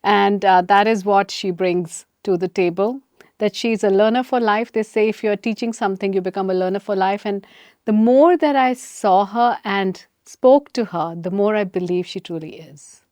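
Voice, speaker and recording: A woman's voice: mid-range voice, normal speed, darkish tone, no echo. A woman hosting a podcast, speaking in an effusive, enthusiastic way. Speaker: podcast host. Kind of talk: podcast interview.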